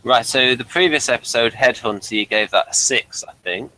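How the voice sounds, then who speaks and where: A man's voice: mid-range voice, normal speed, bright, forceful tone, rough recording. A man hosting a review podcast in casual conversation, with a questioning, tentative way of talking.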